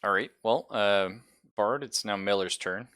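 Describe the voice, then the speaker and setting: A man's voice: fairly low pitch, unhurried, a bit bright, dry recording, studio quality. A man acting as game master, casually explaining rules and narrating the action of a tabletop role-playing game. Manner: explains rules conversationally, describes gory action.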